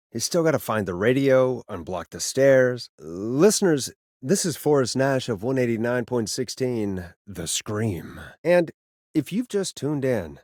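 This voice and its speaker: A man's voice: mid-range voice, darkish tone, no echo, studio quality. An actor playing a wry radio DJ in a video game, a man who talks in a conversational way. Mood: casual, steady. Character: Forrest Nash, wry late-night radio DJ, a grown man. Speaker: radio host.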